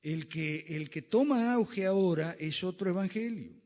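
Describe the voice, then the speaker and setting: A man's voice: sits high, unhurried, darkish tone. A man giving a classroom lecture with slides, emphatic and fond of rhetorical questions. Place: lecture room. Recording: reverberant lecture hall.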